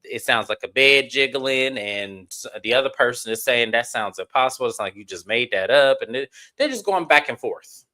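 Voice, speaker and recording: A man's voice: medium pitch, normal speed, hardly any pauses. A man hosting a live stream, chatting casually to viewers as he recaps a reality show episode. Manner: chatty, animated, addresses viewers directly. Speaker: host.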